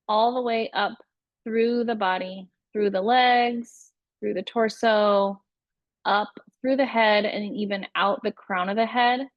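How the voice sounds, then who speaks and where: Female meditation guide, very slow and soothing, mid-range pitch, close dry recording. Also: no room sound, highs cut off. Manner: unhurried, soothing instructional delivery with pauses. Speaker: yoga nidra meditation teacher.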